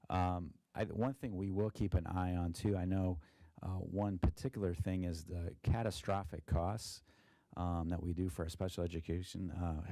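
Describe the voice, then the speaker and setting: A man, a school district treasurer, presenting a budget at a board meeting in a monotone with many fillers and restarts, with an Ohio accent. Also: low-pitched, no echo. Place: meeting room.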